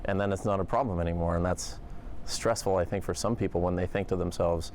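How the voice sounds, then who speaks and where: Male controller, very low pitch, emphatic interview talk, slight background noise. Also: steady tone, fast talker, darkish tone. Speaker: air traffic controller.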